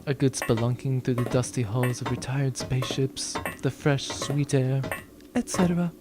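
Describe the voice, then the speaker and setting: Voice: medium pitch, fairly even intonation, normal speed, lightly acted. A performer playing a smug, urbane villain with a wry, condescending manner in a comedic sci-fi audio drama. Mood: smugly menacing. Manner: wry, condescending, florid wording.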